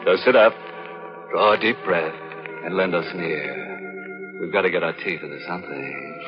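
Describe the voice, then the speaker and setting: A man performs a ghoulish, mock-genial host of a radio horror show. His low voice delivers macabre jokes with sly courtesy. It sounds like a muffled 1940s broadcast, with loud music behind him. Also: normal speed, close to an everyday voice.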